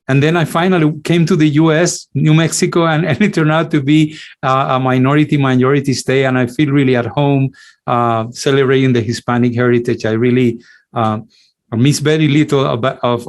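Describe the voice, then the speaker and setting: Middle-aged man, mid-pitched, halting academic lecturer. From Cuba. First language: Spanish. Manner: halting, many restarts and repeated words. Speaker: infectious disease physician, lecturer. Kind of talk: online lecture.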